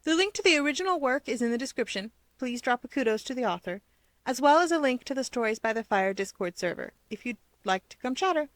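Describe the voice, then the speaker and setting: A woman reading fan fiction aloud as an expressive storyteller. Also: sits high, fast talker, dry recording. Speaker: narrator.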